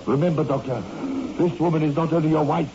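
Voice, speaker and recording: An actor playing an earnest, loyal assistant surgeon with formal, emphatic delivery in a 1960s radio drama. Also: male voice, a little high, steady tone, quick pace, dark tone, lightly acted. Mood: urgent, firm. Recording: muffled, noisy old radio recording.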